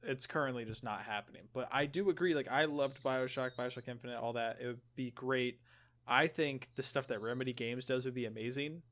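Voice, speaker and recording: A man with a medium-pitched voice talks as a podcast co-host. His delivery is casual and informal, rambling through explanations as if chatting with friends. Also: brisk, a bit bright. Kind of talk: casual podcast chat about games.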